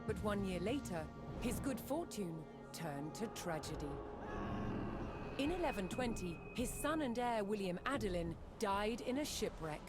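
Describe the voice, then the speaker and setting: Woman narrating history over loud music. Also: mid-range voice, sing-song. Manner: measured, dramatic storytelling. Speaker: documentary narrator. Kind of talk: scripted historical documentary narration. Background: loud cinematic background music.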